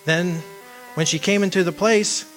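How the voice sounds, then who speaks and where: A man acting in a radio drama as a wistful, earnest man devoted to his lost young love, speaking in deliberate phrases. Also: high-pitched, fairly even intonation, a bit bright, faint music behind. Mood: wistful, grieving.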